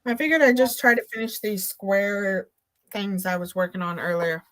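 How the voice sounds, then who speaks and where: A woman co-hosting a casual livestream over a remote link, easygoing, reading out chat names slowly. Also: mid-range voice, fairly bright tone. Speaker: co-host on stream.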